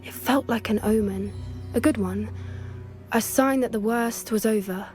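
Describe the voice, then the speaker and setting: An actress playing an earnest young female student who loves magical creatures, speaking in a soft, thoughtful, sometimes hesitant way in video game dialogue. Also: fairly high pitch, a little slow, faint music behind, natural voice. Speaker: game character.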